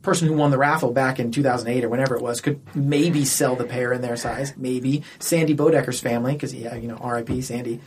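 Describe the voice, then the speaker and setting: A man's voice: mid-range voice, steady tone, fast talker, few pauses, a little mellow, a little hiss or hum. A man chatting casually as he debates on a podcast.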